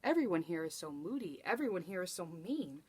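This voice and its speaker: A woman reading a storybook, voicing an earnest, hopeful male court jester in expressive rhyme. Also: lowish voice, fairly quick, slightly rough. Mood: worried.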